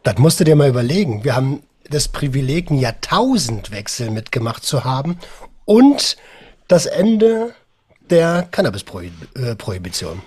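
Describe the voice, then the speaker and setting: A husky male podcast host who has a cold, chatting in an upbeat, lively way. His voice sits at a middle pitch. He talks casually and enthusiastically with a guest. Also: moderate pace. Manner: upbeat, animated, says "geil" and "Alter".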